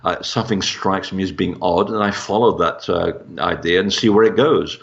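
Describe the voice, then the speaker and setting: Male author guest, lowish pitch, conversational and discursive, muffled lo-fi audio. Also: moderate pace, creaky. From born in Portugal. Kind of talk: casual interview talk, telling about own work.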